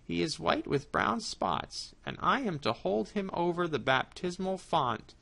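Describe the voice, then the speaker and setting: A sly, greedy tomcat from a storybook, smooth-tongued and coaxing, as a woman reading aloud puts him on. The voice sits far above her own speaking pitch and draws its words out in a wheedling way. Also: big pitch swings, measured pace, fairly bright tone, heavily acted. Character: sly greedy male cat, smooth talker.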